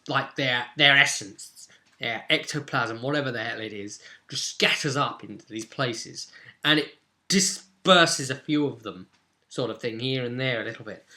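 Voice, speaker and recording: A British man casually recounting a tabletop roleplaying session as game master, in an animated, informal way. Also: medium pitch.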